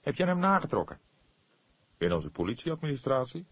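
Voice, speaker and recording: A man's voice: medium pitch, very animated, fairly quick, slightly rough, dry recording, pitched above the performer's own voice. A surly young police detective from an audiobook, acted out by a male reader. His voice sits well above the narration and swings sharply, with a snappish, sneering, incredulous edge to every line. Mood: sceptical. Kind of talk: audiobook character dialogue.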